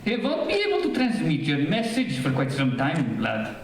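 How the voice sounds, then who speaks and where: A commanding, learned alien man from a radio serial, played by a man. His fairly high voice moves up and down theatrically, with formal phrasing, in a very echoey space. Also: huge pitch swings, moderate pace. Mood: businesslike. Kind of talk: old-time radio serial acting. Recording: echoey stage, distant mic, faint noise.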